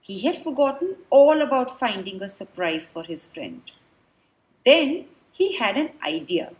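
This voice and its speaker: Slow, lively high-pitched woman reading a storybook. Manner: expressive, dramatic storytelling with deliberate pauses. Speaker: children's book reader. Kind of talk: read-aloud storybook narration.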